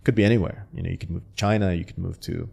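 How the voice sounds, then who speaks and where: Man, low gravelly voice, dark tone, relaxed interview chat, lots of fillers. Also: rapid-fire. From Canada. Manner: relaxed, many fillers and restarts. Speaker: startup founder, guest. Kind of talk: casual interview talk.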